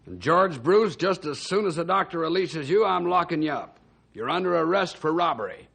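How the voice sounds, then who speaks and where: A stern American lawman in an old western radio drama. He is cynical and blunt, always accusing, with a voice pitched a little above average. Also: expressive, normal speed, vocal fry, a bit bright, slightly rough, exaggerated voice.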